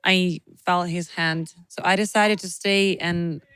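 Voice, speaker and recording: A Ukrainian woman in her twenties, a youth pastor, speaking earnest, halting English with many fillers. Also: on the low side, steady tone, a bit bright, clean tone, dry recording. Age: 20s. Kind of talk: interview guest, personal testimony.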